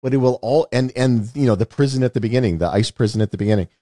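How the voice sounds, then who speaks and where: A man's voice: medium pitch, rapid-fire, warm tone, no echo, clean studio sound. A man co-hosting a podcast, explaining film and game details in a knowledgeable, casual way. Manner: explanatory, knowledgeable, repeats words when starting. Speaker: podcast co-host. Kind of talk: casual movie discussion.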